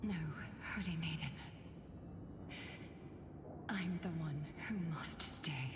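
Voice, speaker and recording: An actress playing a poised, resolute noble leader in a video game, speaking with solemn, measured defiance. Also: female voice, low-pitched, noisy background. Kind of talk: dramatic video game acting. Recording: long hall echo under loud music.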